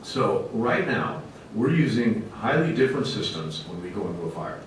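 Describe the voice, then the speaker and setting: A man's voice: sing-song, normal speed. A man speaking as a fire officer giving a public presentation to a committee, in an emphatic, plainspoken, folksy way. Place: large echoey indoor meeting room. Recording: very echoey room, distant mic, faint rumbling noise.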